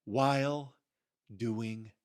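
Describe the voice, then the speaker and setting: Slow, solemn man reading scripture dramatically. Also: mid-range voice, fairly even intonation, smooth. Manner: solemn, theatrical, emphatic phrasing with deliberate pauses. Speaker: Bible reader.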